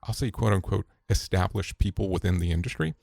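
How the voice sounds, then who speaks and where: A man speaks as a podcast guest, his voice very low with a gravelly fry. He tells stories in a relaxed way, restarting sentences and dropping quote-unquote asides. The sound is close and dry, with no room around it. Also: brisk, dry recording. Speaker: podcast guest, safety broadcaster. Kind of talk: conversational interview answers.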